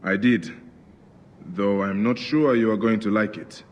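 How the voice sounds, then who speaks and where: A man acts a solemn warrior of ancient Egypt for a game. His voice sits low and he speaks formally, with measured gravity. The sound has a long reverberant tail. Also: steady tone, slight background noise, natural voice. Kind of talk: video game drama acting.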